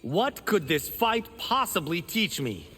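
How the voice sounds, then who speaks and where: A man's voice: high voice, big pitch swings, slow pace, fairly bright tone, in a large hall, slight background noise, exaggerated voice. An actor playing a cocky, witty young male monk fighter in a video game, wry and joking in manner. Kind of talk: video game character voice acting.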